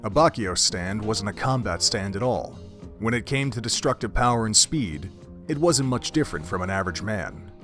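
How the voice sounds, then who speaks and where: A man narrating an audio drama in a measured, literary storytelling style. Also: fairly low pitch, lively intonation, a bit bright. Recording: background music under the voice.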